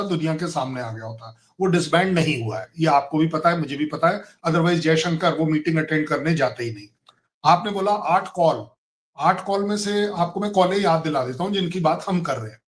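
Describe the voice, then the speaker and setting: A man from India, a retired army colonel and guest, arguing his case point by point on a talk show. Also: fairly high pitch. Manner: emphatic, argues point by point.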